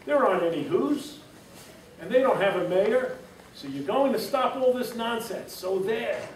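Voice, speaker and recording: Brief: scoffing monkey brothers, read by a man, high and loud, echoey. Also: lively intonation, a little slow. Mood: mocking. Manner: shouting and scoffing. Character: mocking jungle monkey brothers. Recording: roomy, distant mic, background noise.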